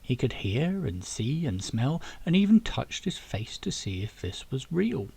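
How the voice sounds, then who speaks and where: A man reads a story aloud in an audiobook style. His voice is husky and gravelly, and his pitch moves around in a lively way as he narrates. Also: measured pace, no echo. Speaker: author reading own novel.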